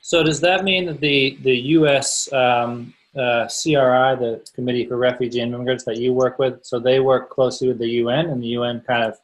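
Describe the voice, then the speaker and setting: A man at a middle pitch hosts an online seminar over a video call. He asks his questions loosely and conversationally, with plenty of ums and restarts. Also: fairly quick, hardly any pauses, rough recording. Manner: relaxed, conversational, many fillers. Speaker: seminar host, interviewer.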